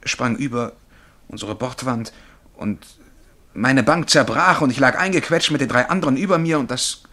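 An actor playing a haunted young man who recounts a dream of being a galley slave, telling it intensely and haltingly in a German radio play. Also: medium pitch, bright, forceful tone. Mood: haunted, absorbed. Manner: intense, vivid, halting at times. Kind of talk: German radio play acting, dramatic storytelling.

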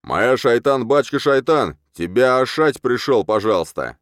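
A male reader plays a rowdy wild man of the steppe in a comic tale. He bellows in broken, crude speech, raised in pitch and swinging freely. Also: animated, a little slow, a bit bright, no room sound, clean studio sound. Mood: wild menace.

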